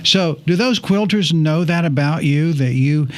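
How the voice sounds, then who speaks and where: A man's voice: a little high, measured pace. Man hosting a casual interview. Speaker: interview host.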